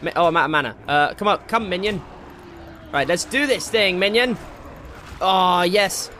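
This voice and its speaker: A man giving casual, excitable commentary while playing a video game, jokey and slangy, with exclamations and swearing. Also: high voice, normal speed, slight background noise. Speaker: gaming video host. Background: game music.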